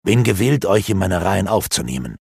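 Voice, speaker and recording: A man voicing a gloating, sinister male dark elf sorcerer in a low, hushed, sneering voice, reading an audiobook. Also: sing-song, brisk, creaky, husky, no room sound, clean studio sound, full character voice. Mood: sneering, persuasive.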